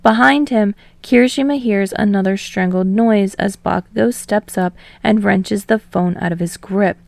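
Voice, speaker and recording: A woman narrator reading fiction aloud in an expressive, storytelling style. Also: medium pitch, moderate pace, creaky, no echo. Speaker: podfic narrator.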